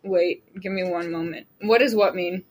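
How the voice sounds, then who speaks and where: A young woman hosting a podcast, chatting casually and joking, swearing freely, with a shrill voice. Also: fairly even intonation, moderate pace. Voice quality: self-described shrill voice. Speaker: podcast host. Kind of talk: casual chat among friends.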